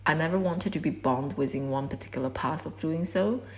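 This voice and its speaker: A woman in her twenties whose first language is Korean gives a measured, reflective talk about her art. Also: sits low, a little hiss or hum. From China. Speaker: artist. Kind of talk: prepared artist talk.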